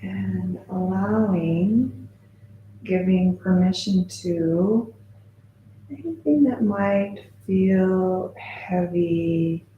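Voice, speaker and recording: A woman leading a guided yoga nidra meditation as a teacher, speaking in a soothing, gentle way with long, unhurried pauses. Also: on the low side, phone-quality sound. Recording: roomy echo with faint background noise.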